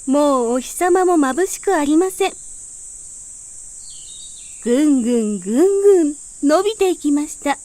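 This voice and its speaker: A woman's voice: high voice, expressive, measured pace, pauses often, dark tone, no echo. A woman narrating a children's fairy tale as a scripted storyteller.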